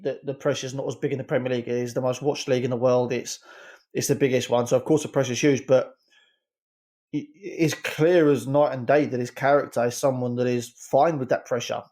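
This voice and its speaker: A man giving his opinions on a casual football podcast, rambling quickly and restarting his sentences. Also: mid-range voice, mellow. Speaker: podcast regular, head coach segment.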